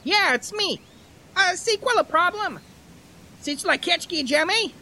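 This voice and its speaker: A man's voice: very high voice, expressive, measured pace, bright, forceful tone, full character voice. An actor playing a blunt, practical handyman who speaks slangy Quebec French with an English accent in a radio comedy. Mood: puzzled, blunt. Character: rough anglophone handyman speaking broken franglais, blunt, practical. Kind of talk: old-time radio comedy acting.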